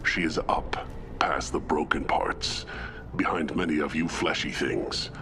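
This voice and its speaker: Very deep, slow alien brute. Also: male voice, creaky, whispered, heavily acted. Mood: solemn, resolute. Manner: halting, simple phrases, solemn. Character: hulking tank-bred krogan alien warrior, childlike, resigned, simple-minded. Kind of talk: dramatic video game acting.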